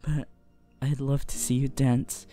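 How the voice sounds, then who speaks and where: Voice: medium pitch, flat delivery, a little slow, warm tone, natural voice. A performer voicing a nervous, sweet teenage boy hero-in-training who stammers and mumbles in a fan roleplay audio. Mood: shy, tender. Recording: music behind the voice.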